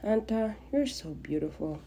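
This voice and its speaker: A woman's voice: mid-range voice, expressive, moderate pace, mellow, a little hiss or hum, lightly acted. A woman voicing an earnest, smitten young man who speaks tenderly in a romantic story. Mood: adoring.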